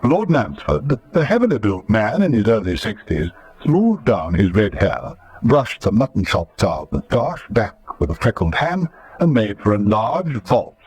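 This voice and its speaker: A male narrator delivers dramatic scripted storytelling for a radio serial. His voice is rather low and rough-edged, with very lively intonation. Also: unhurried.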